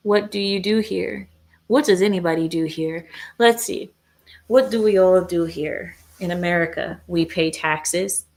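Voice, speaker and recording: A woman hosting a casual livestream, bluntly giving her opinions and replying to viewer comments. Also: fairly low pitch. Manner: blunt and opinionated, with frequent hesitations. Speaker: livestream host.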